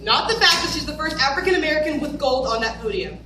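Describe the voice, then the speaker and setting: A woman's voice: high-pitched, animated, fast talker, far from the mic. A young woman declaiming spoken-word poetry emphatically as part of a stage recital. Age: teenager or young adult. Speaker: readers theater performer. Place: large wooden hall with stage. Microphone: far camera, no visible mic. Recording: very echoey, noisy hall from afar.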